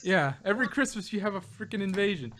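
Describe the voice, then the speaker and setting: A man's voice: sits high, expressive, fast talker, fairly bright tone, a little hiss or hum. A man podcast host in casual, joking banter, rambling through stories as he goes. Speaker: podcast host reviewing audio drama.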